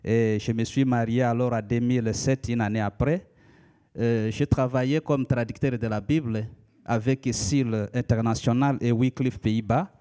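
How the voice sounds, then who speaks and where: A man in his thirties from the Democratic Republic of the Congo, a native Lika speaker, preaching in a church in a measured teaching manner with frequent hesitations. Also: mid-range voice, fairly even intonation, dark tone. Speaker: pastor. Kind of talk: sermon.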